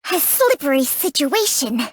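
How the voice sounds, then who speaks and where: A woman's voice: sits high, sing-song, unhurried, no echo. A woman voicing a small, imperious talking snake companion in a video game, blunt, haughty and impatient. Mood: smug. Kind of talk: video game character voice lines.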